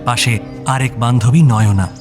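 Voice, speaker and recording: A man's voice: mid-range voice, moderate pace, over music. A man narrating a scripted audio story.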